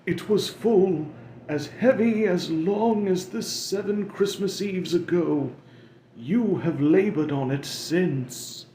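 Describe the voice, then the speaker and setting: A wailing old ghost weighed down by chains, as a man puts him on for a storybook reading. His voice rises high and strained, stretched slow with grief. It rings in an echoey room. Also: mellow, slight background noise. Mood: mournful.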